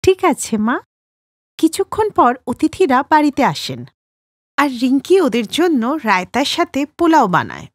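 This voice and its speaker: A woman narrator reading a scripted children's story in an expressive way. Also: sits high, moderate pace, dry recording.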